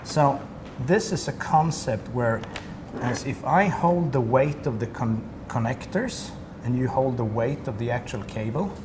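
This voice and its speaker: A man's voice: mid-range voice, lively intonation, a little slow, hardly any pauses. A man from Sweden with a Swedish accent, a company representative explaining a product in detail during an interview. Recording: noisy room ambience.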